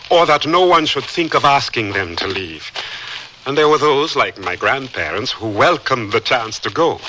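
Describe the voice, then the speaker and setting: A man's voice: medium pitch, pushed and bright. A man playing an eager, polite, educated young Liberian newcomer in a radio drama, speaking formally with hesitant stammers. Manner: earnest, formal, courteous. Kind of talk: old-time radio drama acting. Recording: noisy radio drama recording.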